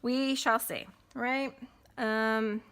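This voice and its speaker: A congested woman chatting casually as she stitches in a home vlog, in an unhurried, friendly way. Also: a little high, fairly even intonation, a bit bright, clear, resonant tone. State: congested from a cold. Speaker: cross-stitch vlogger. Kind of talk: casual chat while stitching. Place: at home with windows closed.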